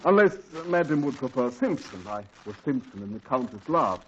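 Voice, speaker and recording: An actor playing a pompous, theatrical old stage actor who offers to work as a butler, speaking in a grand, stately way. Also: male voice, mid-range voice, very animated, normal speed, a little hiss or hum, natural voice. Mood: grandiose. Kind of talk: 1940s radio acting. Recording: dull old radio broadcast.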